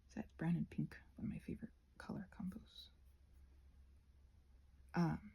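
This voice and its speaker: A woman rambling casually as she crafts for her ASMR channel, halting and self-deprecating, with a husky voice that often drops toward a whisper. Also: fairly low pitch, steady tone, dark tone. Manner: halting, meandering, self-deprecating, searching for words.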